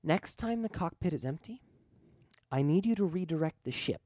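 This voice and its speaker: A man's voice: on the high side, expressive, normal speed, dark tone, dry recording, voice pushed up, lightly acted. A man voicing a shapeshifting spirit posing as a dead pilot, ominous and pleading in an earnest, insistent way.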